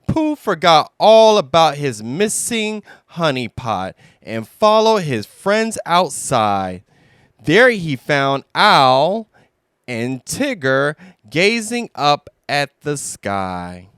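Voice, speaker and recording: Very slow, animated storybook narrator. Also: mid-range voice, clear, resonant tone, no room sound, clean studio sound. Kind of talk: scripted children's story narration.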